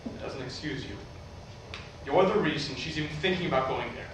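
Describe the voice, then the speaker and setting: A man's voice: medium pitch, brisk, natural voice. A man acting in a staged play reading as a strict, devout father and brother who is easily roused to anger. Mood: angry. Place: large room at a staged reading. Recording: very echoey distant room recording, faint noise.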